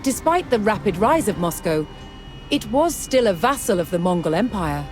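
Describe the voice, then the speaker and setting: A woman's voice: mid-range voice, big pitch swings. Woman narrating history over music. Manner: measured, formal storytelling. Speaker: narrator of a historical strategy game campaign. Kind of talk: scripted documentary narration. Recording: music behind the voice.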